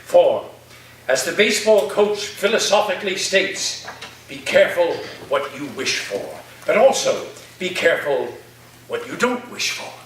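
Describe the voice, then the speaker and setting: A man's voice: high voice, expressive, a bit bright. A man acting an echoey, theatrical eccentric professor. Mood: grandiose. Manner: theatrical, pompous, deliberate phrasing with sudden outbursts. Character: eccentric theatrical male college professor, whimsical and grandiose. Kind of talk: staged play reading. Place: echoey indoor room, staged reading. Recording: roomy, distant stage recording with faint noise.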